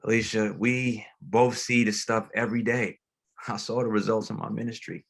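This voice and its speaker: An actor playing a gentle, grieving pastor in a dramatic table read, speaking plainly and haltingly. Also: male voice, fairly low pitch, little pitch movement, creaky, slightly rough. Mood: earnest, compassionate. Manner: reflective, plain-spoken, halting. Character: grieving, gentle, reflective male pastor, widowed father.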